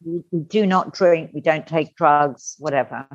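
Low-voiced candid middle-aged woman. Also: a little slow, no echo. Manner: candid, animated, acts out quoted voices. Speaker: podcast guest. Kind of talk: personal storytelling interview. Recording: dull lo-fi dry sound.

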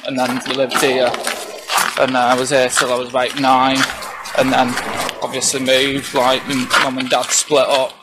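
A young man from England, a witness recounting his experience in a halting, hesitant way, outdoors on a residential street. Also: medium pitch, monotone. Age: young adult. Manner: hesitant, halting, many fillers. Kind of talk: casual first-hand recounting. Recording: noisy outdoor ambience.

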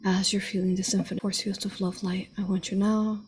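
A woman leading a spiritual guided meditation in a soothing, deliberate, instructing way, with a breathy voice. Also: mid-range voice, level tone, darkish tone.

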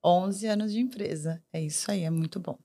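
A woman with a lowish voice tells a business story on a podcast. She speaks with lively energy and corrects herself along the way. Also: normal speed. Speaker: sales partner. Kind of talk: casual podcast chat.

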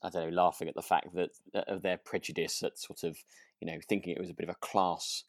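A man's voice: deep voice, little pitch movement, quick pace, no echo, studio quality. A British man co-hosting a podcast in casual chat, with a hesitant, apologetic, rambling way of talking. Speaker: podcast co-host.

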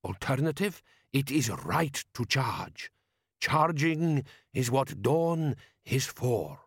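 A man voicing a proud, reproachful desert warrior who speaks slowly and deliberately. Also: mid-range voice, big pitch swings, husky, no room sound, studio quality, exaggerated voice. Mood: stubborn, proud. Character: fierce reproachful desert warrior, proud self-declared dreg.

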